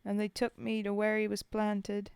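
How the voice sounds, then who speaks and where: A woman reading verse in the voice of a rough, common male soldier from the First World War who mourns a fallen comrade, speaking in folksy working-class dialect. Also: mid-range voice, level tone, unhurried, warm tone, no echo, close to an everyday voice. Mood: wistful. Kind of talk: poetry reading.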